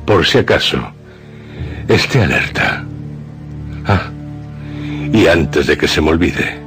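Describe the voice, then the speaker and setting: Very deep Castilian man narrating horror. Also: lively intonation, slow, a bit bright, faint music behind. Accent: Castilian Spanish. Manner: solemn, ominous storytelling. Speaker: narrator and host of a radio horror show. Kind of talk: dramatic scripted horror narration.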